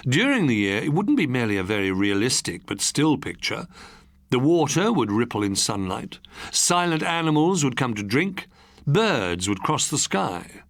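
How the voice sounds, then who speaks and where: A male audiobook narrator reads first-person fiction in a measured, literary way. His intonation is very lively around a middling pitch, and the recording is dry and close. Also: dry recording. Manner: measured, expressive, literary delivery.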